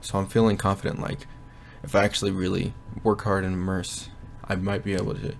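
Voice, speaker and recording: A young man giving a casual, rambling progress update from his bedroom, often pausing to think aloud. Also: sits low, level tone, vocal fry, slight background noise. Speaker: language learner vlogger. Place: bedroom at home.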